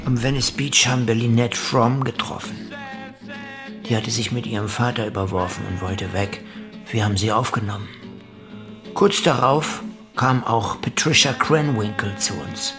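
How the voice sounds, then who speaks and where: An actor playing a drifting, self-absorbed ex-convict musician and cult leader, reminiscing in a radio play. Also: male voice, medium pitch, steady tone, moderate pace, natural voice. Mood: relaxed, reminiscing. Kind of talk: German radio play monologue. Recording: music behind the voice.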